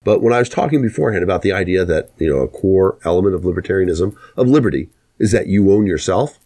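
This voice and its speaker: Low-pitched man hosting talk radio. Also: lively intonation, fast, mellow. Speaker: radio talk show host.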